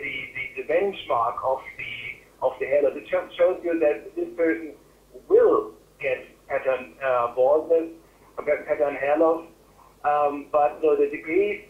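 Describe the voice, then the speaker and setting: A middle-aged man with a German accent answering medical questions as a doctor, in a halting way that repeats and rephrases words, over a phone line. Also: fairly high pitch. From Germany or German-speaking Europe. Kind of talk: medical Q&A on a webinar. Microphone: phone call. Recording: roomy, band-limited phone line.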